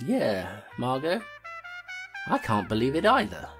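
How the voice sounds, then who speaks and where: A woman's voice: extremely low pitch, huge pitch swings, unhurried, music in the background, exaggerated voice. A woman playing a determined constable who talks bluntly and reasons things out aloud.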